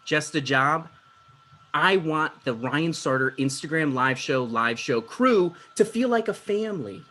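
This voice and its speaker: Guy acting neurotic self-important late night host, highish voice, all over the place, petulant comedy read. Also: animated, unhurried, bright, forceful tone, slight background noise. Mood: eager. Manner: petulant, defensive, pompous. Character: anxious, self-important thirty-five-year-old male talk show host. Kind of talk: comedy script table read. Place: video call.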